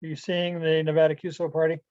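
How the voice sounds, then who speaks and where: A man with a soft-edged, relaxed voice gives an informal club presentation online. He explains in a halting, rambling way. The sound is dry and somewhat muffled, like a lo-fi video call. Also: fairly high pitch, no room sound.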